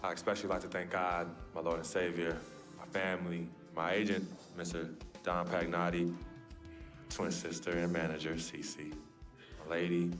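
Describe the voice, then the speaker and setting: Actor as deep-voiced athlete giving a speech. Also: male voice, level tone, moderate pace, lightly acted. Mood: earnest, grateful. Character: young male basketball star making a formal announcement. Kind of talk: press conference speech in a game. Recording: clear music behind the speech.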